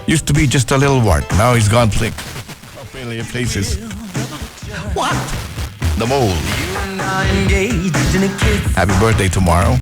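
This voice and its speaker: A man's voice: mid-range voice, animated, unhurried, long pauses. A man hosting a music radio show as DJ, with playful patter and joking asides between songs. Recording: radio aircheck with loud music behind. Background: loud pop music.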